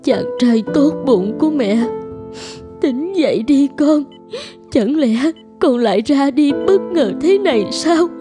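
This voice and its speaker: Upset cartoon mom, pleading, really high voice, music behind. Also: female voice, lively intonation. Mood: grieving. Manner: pleading, drawn-out. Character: distraught, devoted mother of an injured boy.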